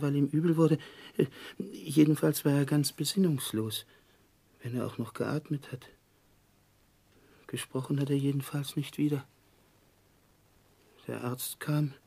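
Shaken old chief clerk, husky and dark, halting, sixties radio play. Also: male voice, medium pitch. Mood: grave, halting. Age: older. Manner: halting, hesitant. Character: old, somewhat scatterbrained chief clerk, shaken bearer of bad news. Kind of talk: 1960s German radio drama acting.

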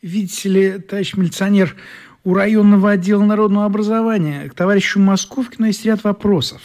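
A man's voice: sits high, steady tone, quick pace, darkish tone. An actor in a radio play playing a pompous male education official who speaks in formal, stilted officialese. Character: smooth, pompous male education-department bureaucrat.

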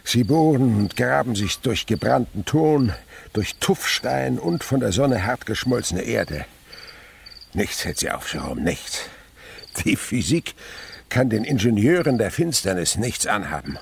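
Elderly scientist uncle, gravelly, swooping intonation, marvelling storyteller, radio play, crickets in the background. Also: male voice, medium pitch, big pitch swings, unhurried, slight background noise. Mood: awed, excited. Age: older. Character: elderly insect researcher uncle, eager, wistful storyteller to a boy. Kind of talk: German radio drama acting.

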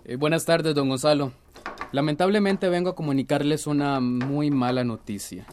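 A man's voice: on the high side, moderate pace, darkish tone, slight background noise, close to an everyday voice. A man playing a polite, apologetic young police officer with a Central American accent, speaking formally and hesitantly in a radio drama. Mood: hesitant, sombre. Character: polite, apologetic local police officer bearing bad news.

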